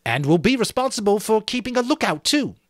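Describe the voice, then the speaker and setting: A man acts out a proud, contemptuous schoolboy leader in an adventure storybook. He speaks high and animated, fierce and commanding with the other boys. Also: brisk, no echo, studio quality. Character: Jack, bossy, proud, contemptuous English choir-leader schoolboy.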